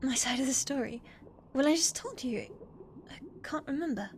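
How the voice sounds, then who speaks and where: Woman acting a timid apprentice witch, highish voice, soft, eager, hesitant, deferential, fantasy ASMR roleplay, background ambience noise. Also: lively intonation, moderate pace. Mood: friendly, unsure. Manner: soft, hesitant, deferential, often drops to whisper. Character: shy, eager, forgetful young witch's apprentice girl.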